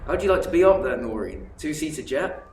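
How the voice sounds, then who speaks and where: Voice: mid-range voice, a little fast, natural voice. A young actor playing a cheeky, teasing working-class holidaymaker man in a school radio play. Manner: teasing, loud and lively. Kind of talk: amateur radio play acting. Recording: roomy echo, distant mic, faint hiss.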